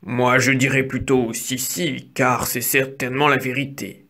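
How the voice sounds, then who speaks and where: A narrator doing a husky, greedy big bad wolf. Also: mid-range voice, flat delivery, a little slow, pushed and bright. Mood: menacing. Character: greedy, menacing male ogre wolf, hungry bully.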